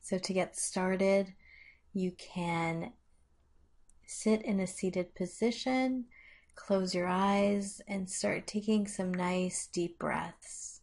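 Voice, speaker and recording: A woman leading a guided meditation with measured, instructional phrasing and long pauses. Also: mid-range voice, a little mellow.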